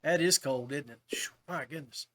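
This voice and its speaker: A man's voice: on the high side, moderate pace, fairly bright tone. An American man hosting a casual fishing podcast, chatting with guests in a friendly, chummy and appreciative way. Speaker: podcast host.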